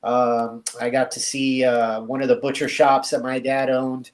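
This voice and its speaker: A middle-aged man born in Cuba, a guest telling family stories in a relaxed, easy way and laughing often. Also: mid-range voice, a little slow. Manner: relaxed storyteller, laughs easily. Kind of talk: casual interview chat.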